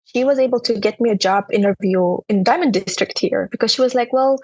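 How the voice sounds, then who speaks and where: A young woman from Turkmenistan with a Turkmen accent, telling her personal story as an interview guest in a halting way, with many "you know" fillers. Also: fairly high pitch, a little mellow, slightly rough, no echo, lo-fi sound.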